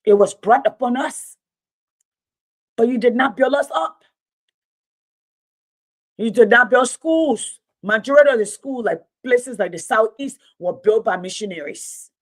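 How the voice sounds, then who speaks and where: A woman with a Liberian accent speaks in a somewhat high-pitched voice. Her English is a second language after Mano and Gio. She talks off the cuff like a storyteller hosting an online show, bluntly and with heavy emphasis, repeating phrases to drive them home. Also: animated, measured pace, long pauses. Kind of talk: unscripted political commentary to livestream viewers.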